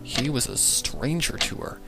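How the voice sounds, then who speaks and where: A man's voice: mid-range voice, very animated, unhurried, vocal fry, rough recording. A man reading a scripted dramatic story as its narrator. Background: loud background music.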